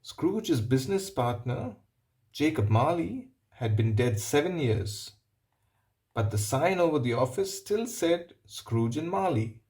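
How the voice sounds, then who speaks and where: A man reading a picture book aloud, pausing for simple explanatory asides and checking in with the listener. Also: fairly high pitch, sing-song, darkish tone. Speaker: storybook reader.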